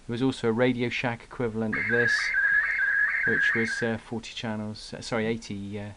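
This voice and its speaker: A man giving an unscripted hobbyist product demonstration in a halting way, with frequent pauses, recorded indoors on a camera mic. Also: fairly low pitch, flat delivery. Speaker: radio hobbyist presenting gear. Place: indoor room at a radio desk. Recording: clear background noise, clicks.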